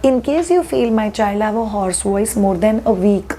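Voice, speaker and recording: A woman with an Indian English accent and a slightly hoarse voice, explaining things to parents in an earnest, reassuring way, asking questions and answering them herself. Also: medium pitch, expressive, normal speed, mellow, a little hiss or hum. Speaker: parenting educator.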